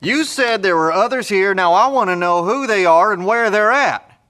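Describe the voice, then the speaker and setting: An actor playing an agitated survivor in an audio drama, confrontational and insistent. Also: male voice, sits high, lively intonation, normal speed, pushed and bright. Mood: angry, demanding. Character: agitated, demanding male survivor confronting a doctor.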